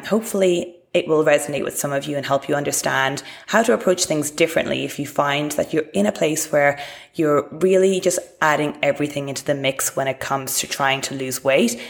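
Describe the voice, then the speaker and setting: A woman hosting a podcast in a low, gravelly voice. She talks in a chatty, encouraging way and lifts her pitch when she turns to her guest. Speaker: podcast host and fitness coach. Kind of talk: podcast interview.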